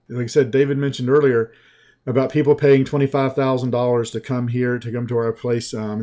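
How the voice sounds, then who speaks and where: Southern US man, sales coach type, mellow unhurried webinar pitch with a folksy feel. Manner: slow, folksy, conversational with restarts.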